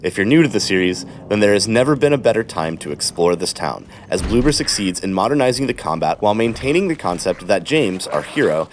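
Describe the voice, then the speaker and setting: A man with a fairly low voice narrates a video game guide from a script. His delivery is measured and explanatory, with faint noise and game ambience behind him. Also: animated.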